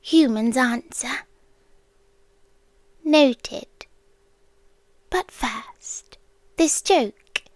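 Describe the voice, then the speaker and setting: A British woman playing an ancient, calm, doting apocalyptic girlfriend in a soft, partly whispered ASMR roleplay, speaking slowly and deliberately. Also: sits high, no room sound. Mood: fond, musing. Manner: slow, hushed, deliberate delivery.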